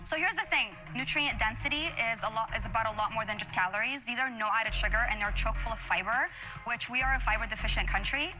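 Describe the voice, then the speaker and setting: A woman from Syria pitching her business to investors, polished and earnest. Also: fast, low-quality recording. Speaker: entrepreneur pitching. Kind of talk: scripted pitch then Q&A. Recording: TV show clip with music under.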